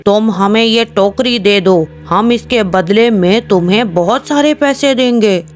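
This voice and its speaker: A woman's voice: mid-range voice, measured pace, lightly acted. A woman voicing a bossy, suspicious forest dwarf in a cartoon story, over music. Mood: demanding.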